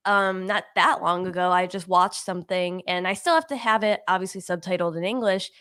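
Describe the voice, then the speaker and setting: A woman's voice: fairly low pitch, fairly quick, fairly bright tone, clear, resonant tone. A woman co-hosting a casual livestream chat, talking in a meandering way full of fillers.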